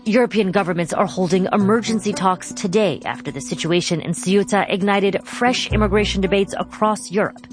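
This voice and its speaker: A woman's voice: mid-range voice, brisk, few pauses, over music. A woman hosting a news podcast, moving between scripted narration and interview questions. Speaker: podcast host.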